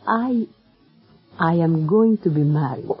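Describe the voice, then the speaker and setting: An actress playing a proud, passionate, middle-aged opera diva with a grand theatrical manner in a 1940s radio drama. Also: female voice, deep voice, slow, husky, soft background music, heavily acted. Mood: affectionate. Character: proud, imperious, passionate female opera diva, secretly a mother. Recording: muffled vintage radio recording.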